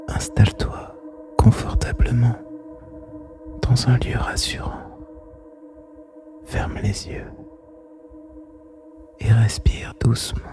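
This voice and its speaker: A man whispering softly and intimately in an ASMR style, soothing and gentle, close to the microphone. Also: medium pitch. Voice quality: breathy, mostly unvoiced whisper. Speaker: host of a whispered relaxation podcast. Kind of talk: ASMR whispered guided relaxation monologue. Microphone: close microphone, made for headphones.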